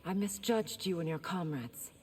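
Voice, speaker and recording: An actress playing a haughty royal warrior in a video game, imperious and composed. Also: female voice, lowish voice, expressive, moderate pace, a little mellow, faint music behind, lightly acted. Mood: proud.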